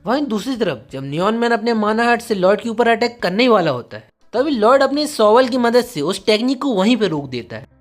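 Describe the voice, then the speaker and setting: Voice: mid-range voice, sing-song, fairly quick, hardly any pauses, soft background music. A narrator telling a scripted story in a dramatic, present-tense recap style.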